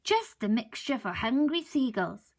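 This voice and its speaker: A woman reading aloud voices a kindly old storybook wife who bursts into bright, eager exclamations. The voice sits well above her own reading voice and leaps around a lot, with a little gravel underneath. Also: fairly high pitch, sing-song, unhurried, full character voice.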